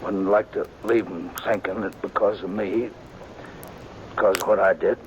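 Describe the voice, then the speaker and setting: An actor playing a wounded, remorseful old sheriff who speaks weakly and haltingly in a 1950s radio drama. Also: male voice, lowish voice, fairly even intonation, a little hiss or hum, close to an everyday voice, poor audio. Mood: pleading. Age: older. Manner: weak, halting, pleading.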